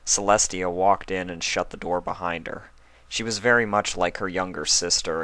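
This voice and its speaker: Deep-voiced man reading a story aloud. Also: no room sound. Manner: even, measured narration. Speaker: fanfic reader.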